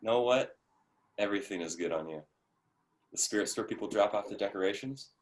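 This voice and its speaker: Casting: male actor as young secret lover, deep voice, tender yet impatient, natural intimate dialogue. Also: fairly quick, close to an everyday voice. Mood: affectionate, casual. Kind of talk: staged play reading, conversational.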